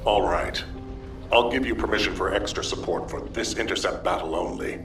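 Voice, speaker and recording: An actor playing a stern, formal operations commander in a video game, giving measured official briefings. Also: male voice, fairly low pitch, roomy sound, recorded from a distance, over music, natural voice. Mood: dry, conceding.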